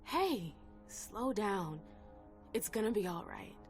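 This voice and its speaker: A steady, protective woman with a middle pitch and a reassuring manner. Music plays faintly behind her. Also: slow pace. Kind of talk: dramatic video game cutscene acting.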